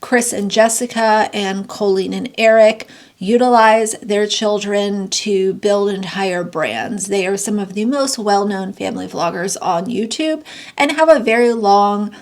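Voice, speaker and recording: A woman's voice: mid-range voice, a little slow. A woman with a congested, nasal voice, giving blunt, sarcastic commentary and reading news excerpts aloud. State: congested, getting sick. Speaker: commentary youtuber. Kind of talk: opinionated commentary.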